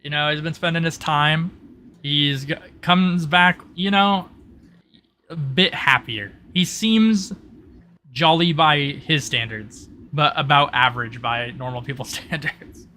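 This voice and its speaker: A man's voice: on the high side, slow pace, bright, forceful tone, slight background noise. A man narrating a tabletop roleplay as game master, in a casual, informal storytelling way.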